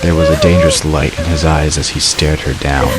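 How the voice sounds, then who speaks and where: A man's voice: very deep voice, steady tone, fairly quick, a loud crowd. A man narrating a dramatic fantasy story from a script. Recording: clear hiss behind the narration.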